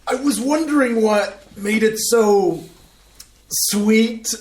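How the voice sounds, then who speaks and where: A man's voice: high-pitched, takes it slowly, darkish tone, reverberant, a little hiss or hum, low-quality recording. A man reading the part of a pretentious, mocking food snob, with arch, theatrical sarcasm, in a community staged reading. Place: small community playhouse stage.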